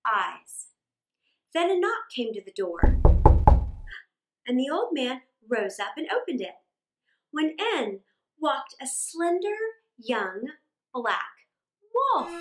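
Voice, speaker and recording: A middle-aged woman tells a children's story in a high voice with big swings of pitch. She stretches key words for dramatic emphasis. The room adds a noticeable echo. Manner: expressive, drawn-out emphasis. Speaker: storyteller reading aloud for children. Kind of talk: dramatic scripted story reading. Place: small indoor room. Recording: roomy indoor echo.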